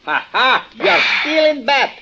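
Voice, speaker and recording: A man's voice: very high-pitched, sing-song, measured pace, creaky, pushed and bright, exaggerated voice. An actor playing an eager, ingratiating fixer in a 1950s radio drama, effusive and florid in his formal speech. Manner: effusive, overly formal, chuckling wheedler. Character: eager, ingratiating, florid Nicaraguan fixer offering paid services. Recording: noisy old radio recording with clicks.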